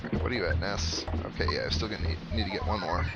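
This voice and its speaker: A man's voice: low-pitched, racing, dull audio. A man giving casual, chatty video game commentary with wry asides as he plays. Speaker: let's play host. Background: video game music.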